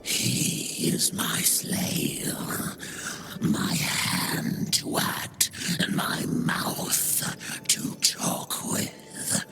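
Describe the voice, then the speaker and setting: A whispering dark spirit. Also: male voice. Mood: possessive. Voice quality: breathy unvoiced whisper. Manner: slow, menacing, possessive. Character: sinister whispering male spirit of dark power. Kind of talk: video game voice acting. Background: game music.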